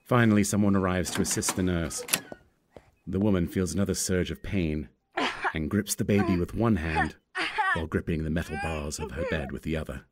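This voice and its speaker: A man's voice: deep voice, brisk, noisy background. A man narrating a screenplay, reading out scripted scene descriptions.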